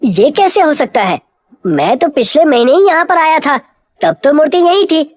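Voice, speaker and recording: A woman acts out an earnest village man in a children's cartoon. The voice is pushed up high, urgent and questioning, with simple puzzled sincerity. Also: expressive, moderate pace, no echo. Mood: alarmed. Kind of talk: cartoon moral story acting.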